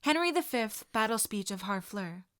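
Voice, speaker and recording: A woman reading a gentle, bookish teenage boy with a hoarse voice. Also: medium pitch, expressive, normal speed, no echo, studio quality, close to an everyday voice. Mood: solemn.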